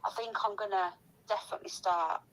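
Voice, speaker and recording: A woman's voice: mid-range voice, fairly even intonation, fairly quick, a bit bright, poor audio. A woman chatting casually and gossiping in a rambling, sweary, complaining way.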